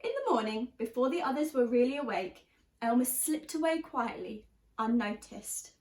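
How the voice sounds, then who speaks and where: High-voiced woman reading to children, roomy echo. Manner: expressive, deliberate storytelling with emphasized words. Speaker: teacher reading a story to young children. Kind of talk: children's storybook read-aloud. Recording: roomy, echoey sound.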